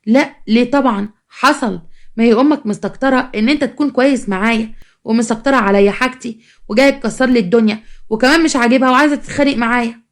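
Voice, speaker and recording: Woman voicing a sassy, quick Egyptian young bride. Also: fairly high pitch, natural voice. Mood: defiant. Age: young adult. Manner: sassy, complaining. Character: defiant, scheming young new bride. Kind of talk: dramatized storytelling.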